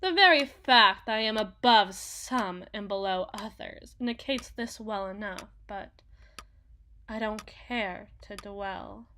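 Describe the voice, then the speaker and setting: A woman's voice: on the high side, bright, forceful tone, slight background noise. A woman voicing a powerful, possessive demon in a theatrical ASMR roleplay, speaking formally and imperiously like a coldly seductive deal-maker. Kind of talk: ASMR roleplay, dramatic monologue.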